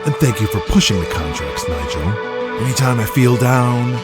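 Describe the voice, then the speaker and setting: A man's voice: mid-range voice, animated, moderate pace, over loud music, natural voice. A man playing a ruthless, gloating wrestling empire boss in an audio drama, pompous and menacing as he makes his speeches. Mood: menacing, contemptuous.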